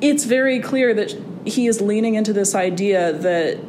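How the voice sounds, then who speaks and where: A young woman chatting as a guest on a talk show, wry and animated, with plenty of "um"s and restarted phrases. Her voice sits at a middle pitch. A clear, low rumble of noise runs under the recording. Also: normal speed. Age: young adult. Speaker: guest, classics student. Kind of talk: casual interview conversation.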